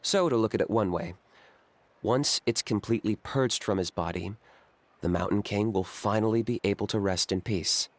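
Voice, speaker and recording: A man as a low-voiced, coolly blunt game character. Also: no echo, natural voice. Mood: composed, serious. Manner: matter-of-fact, unhurried, dry. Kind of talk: video game character acting.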